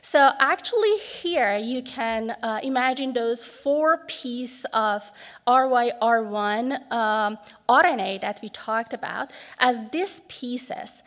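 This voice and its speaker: Slow-speaking woman in an echoing hall. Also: on the high side, lively intonation, fairly bright tone. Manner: slow, careful explaining with fillers. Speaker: research grant speaker. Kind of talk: scientific panel talk. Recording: reverberant conference hall.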